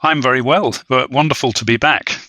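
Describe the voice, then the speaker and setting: A male academic talks as an interview guest in a bright, crisp-edged voice of medium pitch. He builds erudite sentences haltingly, doubling back on words. The sound is very dry, with no room around it. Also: no room sound. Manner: hesitant, halting, erudite phrasing with repeated words. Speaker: academic guest, literature scholar. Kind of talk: scholarly interview discussion.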